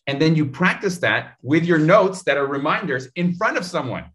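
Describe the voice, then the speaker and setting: Energetic man coaching on a video call. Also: on the high side, moderate pace. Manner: animated, gestures a lot, talks straight to the viewer. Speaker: public speaking coach. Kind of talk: energetic advice in an interview. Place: home room on a video call. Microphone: studio mic in frame on a video call.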